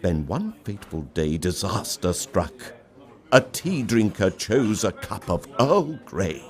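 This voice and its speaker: Deep-voiced man telling a story, wildly animated. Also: takes it slowly, crowd noise. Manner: theatrical, dramatic delivery. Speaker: story reader. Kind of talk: expressive storybook narration.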